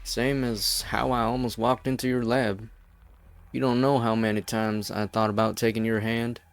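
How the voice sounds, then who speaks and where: An actor playing a calm, hard-bitten, defiant man with folksy phrasing in an amateur game fan dub. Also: medium pitch, normal speed, clean tone, no echo, lightly acted. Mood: cold, defiant.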